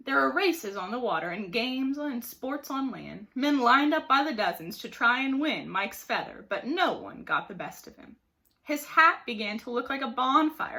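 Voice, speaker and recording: Bright, very animated woman storyteller. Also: high voice. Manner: expressive, dramatic emphasis. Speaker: teacher reading aloud. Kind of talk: animated storybook narration for children.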